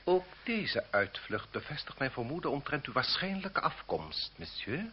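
A muffled, noisy old radio play recording carries a haughty marquis, played by a man. He is a cruel, mocking aristocrat with pompous, formal phrasing and a pitch that swings freely. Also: sing-song, normal speed, natural voice. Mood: coldly menacing. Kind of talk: Dutch radio drama acting.

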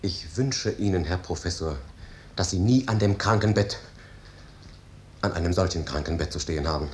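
An actor playing an earnest, troubled but resolute lawyer in a 1950s German radio drama, with formal, solemn, measured speech. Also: male voice, darkish tone, close to an everyday voice. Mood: grave, shaken. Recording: noisy 1950s radio recording with rumble.

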